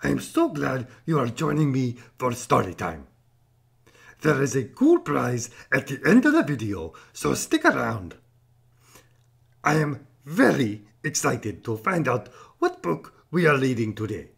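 A man voicing a friendly, excitable furry cartoon monster who hosts a children's show with enthusiasm and a husky, gravelly voice. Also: medium pitch, measured pace, heavily acted. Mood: excited, welcoming. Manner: enthusiastic, encouraging. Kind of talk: upbeat children's presenting.

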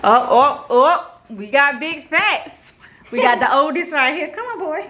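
A woman in her thirties hosting a casual vlog, a little hoarse from a cold, upbeat and playful as she talks to her kids. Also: sits high, animated, a little slow, bright, forceful tone. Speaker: vlog host. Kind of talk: casual chat to camera.

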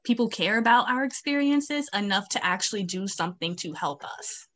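A woman's voice: mid-range voice, lively intonation, normal speed, a bit bright. A young woman student activist speaking earnestly on a video call, with many fillers. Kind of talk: town hall video call. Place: home, virtual beach background.

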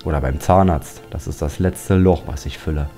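A man's voice: rumbling low, moderate pace, warm tone. A man giving casual, joking commentary while playing a building game, grumbling at monsters along the way. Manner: chatty, joking, grumbles at mobs. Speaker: Let's Play commentator. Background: game music.

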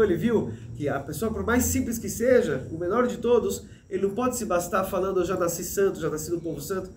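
A man in his thirties teaching a Torah lesson in an animated way, gesturing and restarting often, recorded indoors on a desk mic. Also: high-pitched, echoey room, slight background noise. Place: small room with a plain wall, indoors. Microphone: desk mic in front, medium framing.

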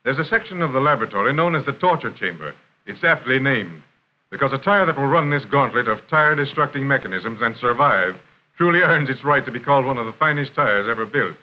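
An American man reading scripted narration for a 1930s industrial film in a formal, measured announcer style. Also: mid-range voice, lively intonation. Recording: dull, noisy 1930s film soundtrack.

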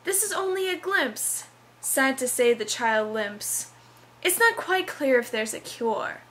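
A woman putting on a high, theatrical Christmas narrator. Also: lively intonation, fairly bright tone, slight background noise, heavily acted, rough recording. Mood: solemnly touched. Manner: over-dramatic, deliberate declaiming. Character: earnest, theatrical narrator reciting Christmas show lyrics. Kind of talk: dramatic reading.

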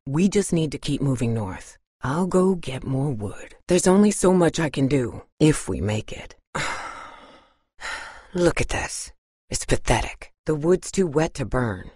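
An actress playing a tough, weary woman surviving an apocalypse in a video game, husky and blunt, speaking in a serious, terse way. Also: sits low, very animated, a little slow, mellow, no echo, studio quality, heavily acted. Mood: weary, bitter. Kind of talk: dramatic video game voice acting.

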